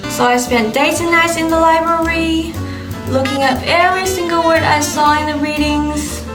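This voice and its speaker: Young woman, first language Chinese, high voice, wry scripted storytelling, music playing behind her. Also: steady tone, normal speed. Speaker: blogger. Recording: echoey room with background music.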